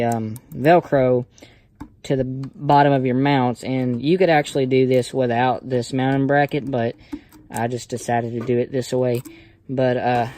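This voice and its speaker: A plainspoken, folksy man giving a casual hands-on tutorial, talking hesitantly in short phrases with pauses. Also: mid-range voice, darkish tone. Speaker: how-to video presenter. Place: inside a parked car. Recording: noisy amateur recording with clicks.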